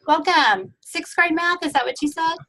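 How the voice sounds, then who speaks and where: A woman's voice: very high voice, lively intonation, moderate pace, sharp tone. A woman from Texas leading an online class, friendly and chatty, often laughing as she welcomes students over a video call. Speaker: course instructor.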